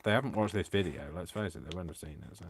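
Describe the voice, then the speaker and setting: Male crafting host, very low voice, self-mocking running chatter, faint noise floor. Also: rapid-fire. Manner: self-mocking, talks to himself. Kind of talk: live crafting stream, chatty self-talk.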